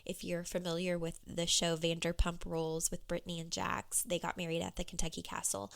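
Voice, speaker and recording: A woman business coach talking candidly on her solo podcast, with a hoarse, froggy voice. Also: fairly low pitch, little pitch movement, brisk. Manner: candid, conversational, with fillers.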